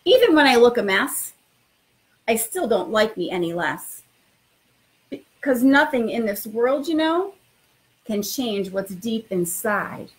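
A middle-aged woman with a somewhat high-pitched voice speaks as an elementary school principal. She addresses children directly and encouragingly, in the informal style of a picture book read-aloud. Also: big pitch swings, slow pace, long pauses, poor audio.

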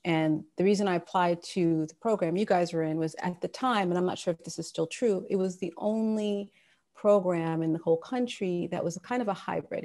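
Woman in her thirties from Sudan, low and gravelly, giving a casual talk over a video call. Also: a little mellow. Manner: conversational, many fillers and restarts. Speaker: guest speaker. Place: home office. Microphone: wired earphones on a video call.

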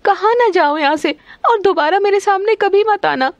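महिला की आवाज़ में दुखी, ऊँचे सुर वाली युवा विषकन्या. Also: female voice, very high-pitched, normal speed, dry recording, highs cut off, voice pushed up. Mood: upset, pushing away. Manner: pleading, plaintive, emotional. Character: distressed young woman, a serpent's daughter turned blue. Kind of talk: cartoon story dialogue.

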